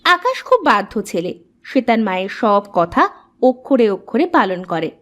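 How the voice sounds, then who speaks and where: A woman storyteller reading a scripted children's tale in an expressive, measured way. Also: fairly high pitch.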